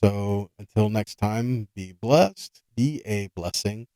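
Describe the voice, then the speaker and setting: A man's voice: fairly low pitch, slow pace. A man teaching scripture in an informal, earnest sermon style, explaining conversationally with rhetorical questions and repeated points. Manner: conversational, asks rhetorical questions and checks in with listeners. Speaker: Bible teacher hosting a weekly scripture study.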